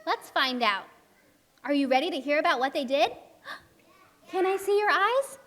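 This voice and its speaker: High-voiced woman storyteller in echoey hall. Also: unhurried. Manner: expressive, addresses young children with simple questions. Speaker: puppet show narrator and children's presenter. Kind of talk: children's storytelling to a live audience. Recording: echoey hall, live show.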